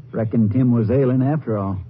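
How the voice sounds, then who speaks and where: A man's voice: medium pitch, phone-quality sound, close to an everyday voice. Man playing a folksy Texas sheriff, noisy old radio. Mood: puzzled. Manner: folksy, drawling, puzzled questioning. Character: folksy small-town Texas sheriff man, plain-spoken, questioning. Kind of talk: 1950s radio western acting.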